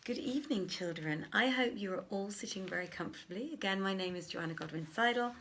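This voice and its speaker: Woman, storyteller host, medium pitch, chatty, affectionate, slightly theatrical, informal live home recording, faint background noise. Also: brisk, a bit bright. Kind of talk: informal welcome before a live bedtime-style reading. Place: at home, live to camera on a tripod.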